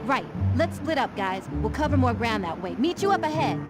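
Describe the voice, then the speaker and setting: An actress playing a confident, take-charge female zoo animal in a cartoon video game, brisk and sassy as she organizes her friends. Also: a little high, sing-song. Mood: determined. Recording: loud music over the voice.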